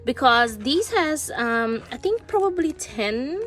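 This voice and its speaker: A woman's voice: high-pitched, sing-song, takes it slowly, a bit bright, soft background music. A woman vlogger chatting casually as she shows stationery on her desk, her voice a bit congested from a cold, with many fillers and restarts. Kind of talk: casual show-and-tell chat. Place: indoors at a desk.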